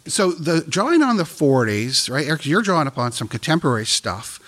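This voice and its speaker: Animated guy hosting a talk show, voice jumps around a lot, kinda high. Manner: lively, jokey, quick questions. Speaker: interview host. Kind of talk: radio interview.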